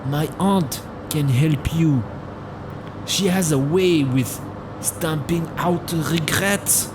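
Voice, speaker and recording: A man's voice: a little high, drawn-out words. A man playing a friendly, philosophical taxi driver in a radio drama, English. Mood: sympathetic. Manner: non-native English, earnest, gently musing. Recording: clearly noisy street and car ambience.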